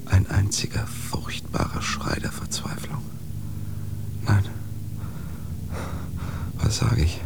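An actor playing a devout man who pleads against church cruelty in a hoarse, creaky whisper. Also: on the low side, flat delivery, full character voice. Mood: anguished. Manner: pleading, earnest, halting. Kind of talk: radio drama acting.

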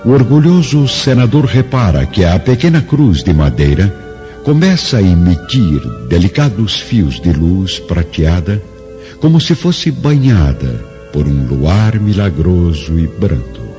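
A Brazilian man narrates a radio drama solemnly. His low voice is highly expressive and dark in tone. The recording is slightly dull, with faint music beneath. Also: unhurried.

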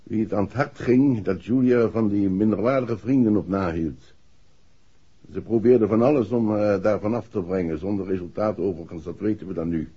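A man's voice: on the low side, normal speed, breathy edge. An actor playing an indignant, stubborn upper-class father in a 1960s Dutch radio play, speaking curtly and formally. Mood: grave, confiding. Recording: dull, muffled vintage radio recording.

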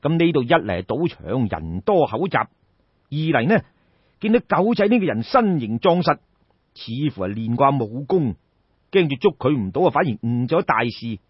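Cantonese guy doing old-style storytelling, raspy voice, dull echoey recording, talks right to listeners. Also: medium pitch, sing-song, moderate pace. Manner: colloquial, theatrical, addresses listeners directly. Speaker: storyteller, audiobook narrator. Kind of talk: traditional Cantonese oral storytelling, dramatic narration.

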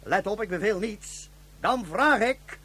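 Very high-voiced solemn ruler. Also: male voice, animated, a little slow, bright, forceful tone, breathy edge, full character voice. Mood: stirring appeal. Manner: rhetorical, emphatic, repeats phrases. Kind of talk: radio drama oratory.

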